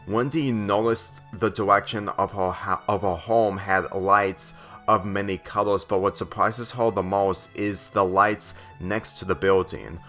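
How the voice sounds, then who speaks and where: Male story reader, unhurried and a bit halting, forceful bright tone. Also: on the low side, faint music behind. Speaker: fan fiction reader. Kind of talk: scripted story narration, reading aloud.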